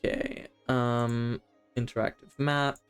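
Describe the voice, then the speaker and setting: A man's voice: mid-range voice, level tone, slow, faint music behind. A man giving casual live gameplay commentary as a streamer, rambling and thinking aloud. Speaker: game streamer.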